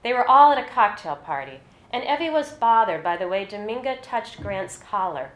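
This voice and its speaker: A woman's voice: medium pitch, very animated, normal speed, fairly bright tone. A woman reading her own literary short story aloud at a live indoor event. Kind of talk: literary reading of scripted fiction to an audience. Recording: roomy, echoey live event recording.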